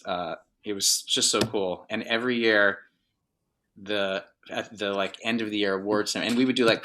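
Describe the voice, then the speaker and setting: A man's voice: low-pitched, pushed and bright, a little hiss or hum. A middle-aged man from Northern Virginia, a drummer guest in a casual interview, talking in a rambling way with many fillers and restarts.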